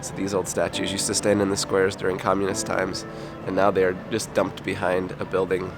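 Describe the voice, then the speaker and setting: Hoarse male travel vlogger, lowish voice, upbeat narration, music underneath. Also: monotone, fairly quick. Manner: upbeat, enthusiastic touring. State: hoarse, losing his voice.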